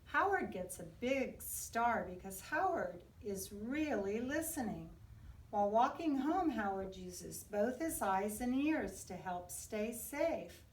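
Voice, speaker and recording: An echoey room surrounds this woman, who sits a little back from the mic. She reads a children's storybook aloud in an expressive, very lively voice, shaping it deliberately for small kids. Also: on the high side, distant mic. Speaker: children's book reader. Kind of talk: read-aloud picture book narration.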